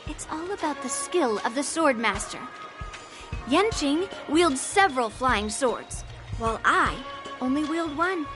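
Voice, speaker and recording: Brash, high-voiced young swordswoman. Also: animated, measured pace, music in the background. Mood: proud. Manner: blunt, informal. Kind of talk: scripted video game voice acting.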